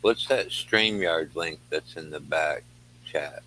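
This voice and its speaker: A man's voice: extremely low pitch, slow pace, sharp tone, low-quality recording. A man talking casually as a panel guest on an online group call, with a faint hum on the line. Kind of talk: casual livestream chat.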